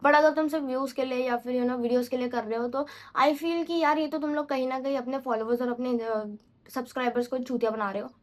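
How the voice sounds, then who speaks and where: High-voiced chatty young woman vlogger. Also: fairly even intonation, brisk. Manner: rambling, chatty, mixes English into Hindi, self-correcting. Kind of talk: casual unscripted vlog talk.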